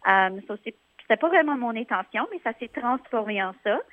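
A woman's voice: fairly high pitch, expressive, a little fast, dry recording. A woman in her thirties from Northern Ontario with a Franco-Ontarian French accent, chatting casually as an interview guest and explaining things conversationally. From Northern Ontario, Canada. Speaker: interview guest, community organizer. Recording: muffled, thin phone line.

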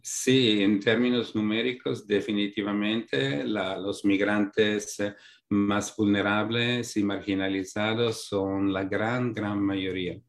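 A middle-aged European man with a fairly low voice speaks as an academic guest. He explains things slowly, pausing on fillers and restarting his phrases as he works toward each point. He speaks in a language that is not his first. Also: fairly even intonation. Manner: measured, thoughtful, many fillers and restarts. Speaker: academic guest, migration researcher. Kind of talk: interview answers, explanatory.

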